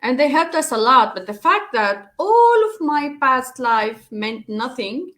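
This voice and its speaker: A woman with a Syrian Arabic accent speaks in a fairly high voice, her first language Arabic. She is telling her story as an interview guest over a video call. She pauses often with fillers and restarts her sentences. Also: expressive, a bit bright. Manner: hesitant, many fillers and restarts. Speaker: life coach, guest.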